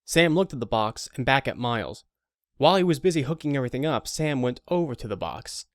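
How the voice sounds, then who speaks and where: A man's voice: medium pitch, expressive, fairly quick, no room sound, studio quality. A man reading scripted narration for an audio drama. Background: guitar music.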